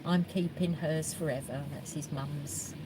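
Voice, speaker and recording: A woman's voice: sits low, steady tone, measured pace. A woman co-hosting a live drive stream, chatty and reading out viewer comments with many ums and uhs. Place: in a moving car. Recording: loud road noise in car.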